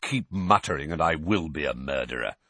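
A man's voice: lowish voice, very animated, moderate pace, fairly bright tone, no room sound. A man voicing a cold, impatient master of the house in a storybook, snapping curtly. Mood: irritable.

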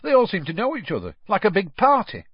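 A man voicing a blunt, suspicious rural campsite owner who speaks loudly and gruffly. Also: high-pitched, wildly swooping pitch, fast, no echo, pitched above the performer's own voice, heavily acted. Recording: slightly dull lo-fi audio.

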